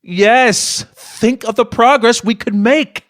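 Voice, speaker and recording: A man's voice: extremely high pitch, slow pace, a bit bright, no echo, full character voice. An actor playing a middle-aged politician father in an audio play, persuasive and defensive, talking down to his grown daughter. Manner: condescending, self-justifying.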